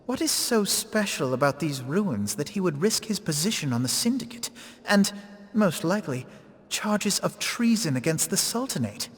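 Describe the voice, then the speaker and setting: A man's voice: fairly high pitch, sing-song, normal speed, slightly rough. An actor playing an earnest, polite, learned young man in a fantasy video game, speaking in a courteous, formal, inquiring way. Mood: puzzled, courteous. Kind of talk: scripted video game drama. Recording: long hall-like reverb.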